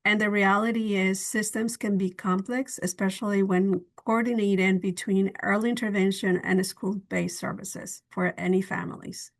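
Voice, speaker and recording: A woman's voice: medium pitch, steady tone, moderate pace, few pauses. An earnest woman trainer walks listeners through a topic on a video call webinar. She explains step by step, with a few small slips in her phrasing. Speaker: bilingual trainer. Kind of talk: webinar presentation.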